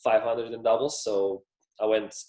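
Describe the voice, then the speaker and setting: Low-voiced man, echoey room. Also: steady tone, normal speed, darkish tone. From Russia. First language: Russian. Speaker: guest, professional tennis player. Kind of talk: casual interview talk.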